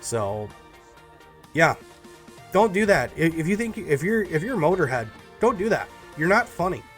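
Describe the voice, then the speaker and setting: Congested guy rambling a youtube update, lots of ums, some music playing softly behind. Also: fairly high pitch, very animated, moderate pace. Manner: rambling, many ums and restarts, ranting. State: stuffed up, nasal from a cold. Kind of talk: casual personal update vlog.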